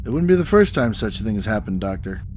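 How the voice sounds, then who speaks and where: An actor playing a calm, authoritative starship captain with a formal, measured manner in a sci-fi audio drama. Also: male voice, lowish voice, slight background noise.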